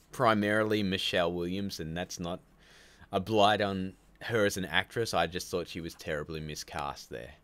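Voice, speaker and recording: Low-voiced aussie guy rambling through a livestream, reading chat and laughing a lot. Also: fairly bright tone, no room sound. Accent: Australian. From Australia. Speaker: livestream host. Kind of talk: casual live-stream chat reading viewer comments.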